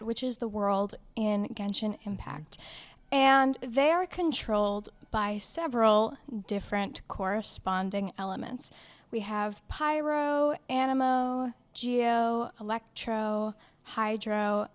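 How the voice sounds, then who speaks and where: A young woman speaks as a podcast guest in a fairly high voice. She explains things conversationally, with a playful, giggly manner and plenty of casual slang.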